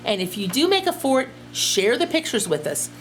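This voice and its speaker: Woman teacher, children's read-aloud; highly animated intonation, unhurried, addresses kids directly, faint background noise. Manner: expressive, addresses young listeners directly. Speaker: teacher reading to pupils.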